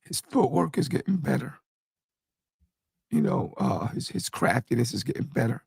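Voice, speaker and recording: A man hosting a casual fan podcast while hoarse and losing his voice, talking in an animated, rambling way. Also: medium pitch, normal speed, no room sound. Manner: animated, hype greetings, rambling. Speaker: podcast host.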